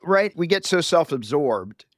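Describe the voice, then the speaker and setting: A man's voice: fairly high pitch, very animated, unhurried, no echo. Dry-sounding man hosting an interview. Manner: affirming, asks long open questions. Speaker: podcast host. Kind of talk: podcast interview.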